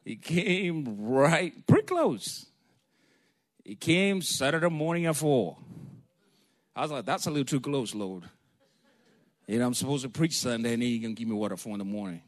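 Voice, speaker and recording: Preacher guy, mid-pitched, jokey and lively, tells stories from the pulpit. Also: moderate pace, long pauses. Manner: lively, joking, storytelling, calls for amen. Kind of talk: sermon.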